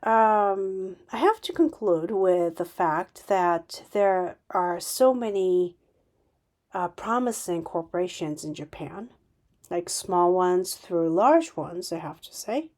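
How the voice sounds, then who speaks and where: Woman podcast host, unscripted, slow and halting delivery with emphatic outbursts. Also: mid-range voice. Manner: halting, many restarts and fillers, emphatic bursts. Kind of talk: unscripted solo podcast monologue.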